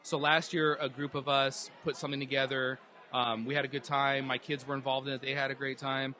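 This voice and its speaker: Earnest guy telling his story in an interview, bright edge to his voice, faint noise in the back. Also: medium pitch, level tone, a little fast. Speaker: guest, dance group organizer. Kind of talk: casual interview answers. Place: live event hall.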